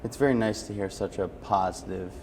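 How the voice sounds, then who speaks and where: A man's voice: on the low side, brisk, darkish tone, slight background noise. A man hosting a friendly conversational interview in an encouraging, affirming manner. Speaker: interviewer and host.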